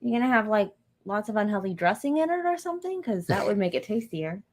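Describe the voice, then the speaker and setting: A woman's voice: medium pitch, animated, quick pace, a little mellow. A woman co-hosting a casual livestream, greeting viewers and trading playful, teasing banter. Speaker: livestream co-host.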